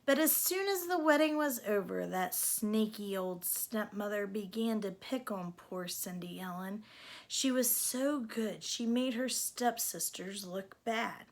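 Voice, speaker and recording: A woman reading a children's storybook aloud in an expressive storytelling style. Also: mid-range voice, a little slow. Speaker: storybook reader.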